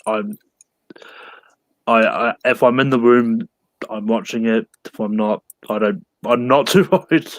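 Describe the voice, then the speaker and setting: A man with a New Zealand accent chatting casually as a guest, halting and full of ums. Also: on the low side, dry recording, poor audio. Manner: hesitant, halting, frequent ums. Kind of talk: casual chat.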